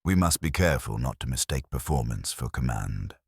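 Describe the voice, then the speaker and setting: A man playing a very deep-voiced, measured inspector. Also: animated, gravelly, slightly rough, no echo, studio quality, full character voice. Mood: grave, deliberate. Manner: measured, gentle, formal. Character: calm, courteous, deliberate male police inspector.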